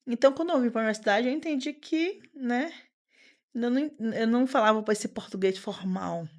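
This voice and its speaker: A woman in her thirties speaking as a podcast guest, earnest and animated, often repeating words and using fillers as she talks. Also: a little high, brisk. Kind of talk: conversational interview.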